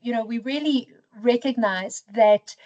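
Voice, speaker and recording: Measured woman in a corporate interview. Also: a little high, a little mellow, lo-fi sound. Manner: measured, reflective, uses "you know" and "for me".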